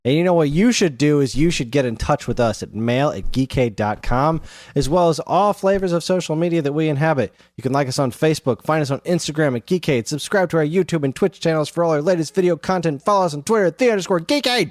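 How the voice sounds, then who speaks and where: A man's voice: on the high side, dry recording. Dry-recorded man, casual podcast co-host. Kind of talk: casual chat.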